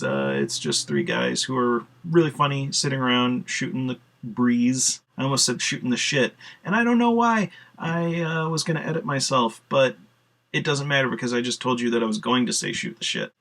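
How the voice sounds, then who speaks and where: A man's voice: mid-range voice, creaky, fairly bright tone. A man chatting casually on his video blog, rambling with lots of "uh" fillers and casual swearing. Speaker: video blogger. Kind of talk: casual solo vlog talk.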